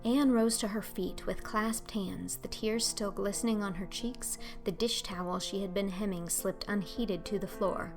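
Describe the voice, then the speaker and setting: A woman's voice: mid-range voice, fairly even intonation, normal speed, few pauses, music in the background. A woman narrating a classic children's novel as a scripted audiobook.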